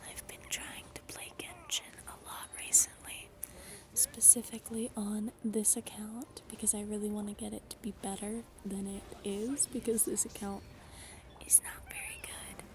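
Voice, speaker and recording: A young woman whispering her way through soft-spoken gaming chat. She rambles, with frequent ums, and her voice is a little raspy from a dry throat. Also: mid-range voice, very animated, normal speed. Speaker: gaming YouTuber.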